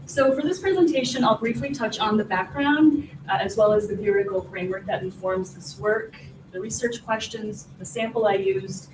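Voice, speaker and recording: A woman's voice: medium pitch, moderate pace. Woman presenting in an echoey hall. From born in Peru, raised in the US. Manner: earnest, explanatory, builds points step by step. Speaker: assistant professor presenting research. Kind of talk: academic conference presentation. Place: conference hall. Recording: roomy hall, mic from far off, noisy.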